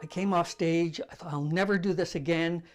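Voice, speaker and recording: An older man with a soft voice, hosting a casual talk to camera, telling stories in a conversational, self-deprecating way. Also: a little high, fairly even intonation, moderate pace. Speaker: host.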